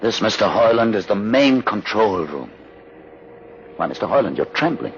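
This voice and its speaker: An actor playing a learned, commanding older rebel aboard a starship in a radio drama, speaking in a deliberate, theatrical, condescending way. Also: male voice, fairly low pitch, very animated. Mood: grave, masterful. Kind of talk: old-time radio drama acting. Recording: muffled, noisy old radio recording.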